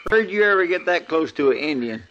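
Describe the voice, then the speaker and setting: An actor playing a deferential, plain-folks marshal's sidekick with a rural Western American accent in an old radio western. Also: male voice, fairly high pitch, animated, a little fast, a bit bright. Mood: eager, folksy. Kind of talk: 1950s radio western acting. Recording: muffled old radio recording, noisy.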